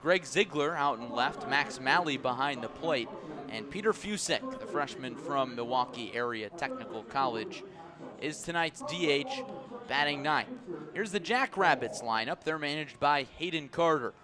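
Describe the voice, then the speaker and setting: A man calling baseball live on the radio as play-by-play announcer, measured between pitches and excitable on big plays. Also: crowd noise. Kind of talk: live radio sports commentary.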